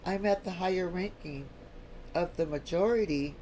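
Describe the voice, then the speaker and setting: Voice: medium pitch, moderate pace, mellow, a little hiss or hum. An American video blogger speaking over a screen recording in an unscripted lecture, repeating key points for emphasis. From United States. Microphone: voice-over, not recorded in the pictured place.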